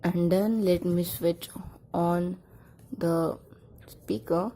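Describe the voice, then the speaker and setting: A woman with a mellow, slightly low voice presents a casual product demonstration. She talks in brief, simple phrases and often repeats them as she points things out. The tone is relaxed and dark rather than sharp. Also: drawn-out words. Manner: simple, repetitive short phrases while demonstrating. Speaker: product review vlogger.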